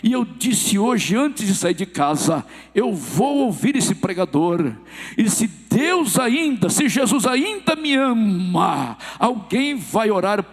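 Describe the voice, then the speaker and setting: A Brazilian pastor with a Minas Gerais accent preaches from the pulpit, his voice sitting very high for a man. He tells stories and acts out the people in them, calling out hallelujah between them. The church hall rings with a long reverb behind every line. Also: sing-song, slow pace. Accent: Brazilian Portuguese, Minas Gerais. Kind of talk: sermon.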